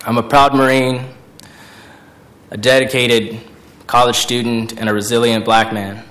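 Level-pitched man, twenties, American accent, deliberate declarative public speaking. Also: little pitch movement, fairly bright tone. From Portland, United States. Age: 20s. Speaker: speaker, veteran and student. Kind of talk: prepared personal speech to an audience.

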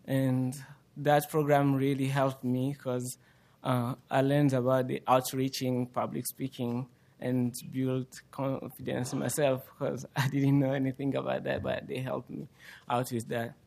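A man's voice: medium pitch, flat delivery, measured pace, gravelly, a little mellow. A teenage young man from Congo with a Congolese accent, a student speaking hesitantly in public. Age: teens. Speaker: high school student giving testimony. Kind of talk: public speech.

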